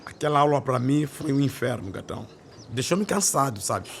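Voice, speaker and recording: An actor playing a weary, authoritarian male schoolteacher in a radio drama, speaking in an expressive, schoolmasterly way. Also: medium pitch, fairly quick, vocal fry, a little hiss or hum. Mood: frustrated. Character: weary, authoritarian male schoolteacher, frustrated but open to change.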